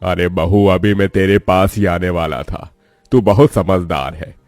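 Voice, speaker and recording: A man's voice: low-pitched, measured pace, a little mellow, no room sound, soft background music. A man voicing an approving, protective elderly father-in-law in a family story, plain-spoken and reassuring. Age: older.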